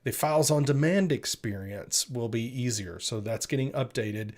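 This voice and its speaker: A man's voice: medium pitch, normal speed, a little mellow. A man co-hosting a tech news show, talking casually and unscripted as he explains things step by step.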